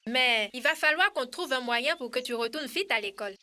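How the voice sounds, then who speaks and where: A woman's voice: high-pitched, animated, brisk. A woman voicing a helpful, earnest schoolchild in a children's cartoon. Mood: concerned. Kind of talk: French-language children's cartoon dub.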